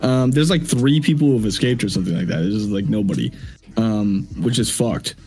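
A man's voice: fairly low pitch, expressive, brisk, warm tone, rough recording. A young man from Washington State, a streamer and rapper, chatting as a guest over a video call, swearing freely and laughing often. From United States (Washington State). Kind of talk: casual chat.

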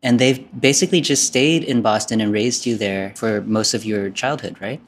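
A woman's voice: very deep voice, moderate pace. A woman hosting an interview, asking open questions and responding with brief, affirming reactions. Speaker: interviewer, host. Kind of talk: casual interview conversation.

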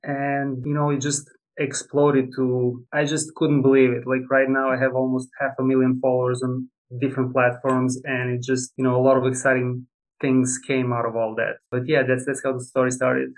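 A young man from Serbia talks as a podcast guest, friendly and patient as he explains. His pitch sits in a middle range for a man. He chuckles lightly now and then as he gives advice. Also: monotone, moderate pace, poor audio. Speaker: plant expert guest. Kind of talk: casual interview talk.

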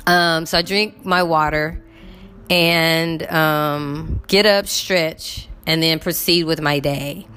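A woman's voice: low voice, fairly even intonation, slow pace, sharp tone, clear, resonant tone. A woman in her fifties chatting casually to her viewers, rambling with lots of fillers while getting over a cough. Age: 50s. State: recovering from illness, cough. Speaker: vlogger.

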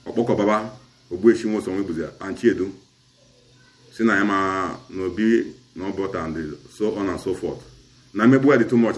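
A man's voice: on the low side, echoey room. A middle-aged man with an Asaba Igbo accent, recounting a community dispute as a chief in an interview and switching between Igbo and English. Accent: Nigerian, Asaba Igbo. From Nigeria. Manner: mixes Igbo and English in long recounting turns.

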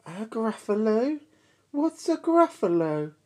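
Lady putting on a slinky sneaky snake for a kids story, high pitched, draws words out, spooks easy. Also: sing-song, takes it slowly, darkish tone, exaggerated voice. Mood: startled, fearful.

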